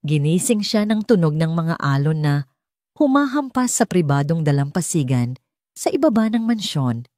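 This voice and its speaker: A woman's voice: on the low side, a little mellow, no echo, clean studio sound. A woman with a Filipino accent reading a scripted romance story as an audiobook narrator.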